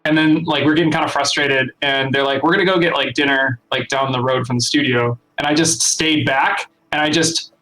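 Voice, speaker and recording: A man's voice: mid-range voice, fairly even intonation, fairly quick, few pauses, bright, forceful tone, low-quality recording. A man chatting informally as a music producer on a talk show. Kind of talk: casual chat.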